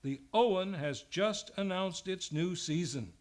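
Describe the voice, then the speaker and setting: A man's voice: sits high, measured pace. A man working as a radio show announcer, reading scripted promotion with a showy, upbeat delivery. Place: radio studio, live performance.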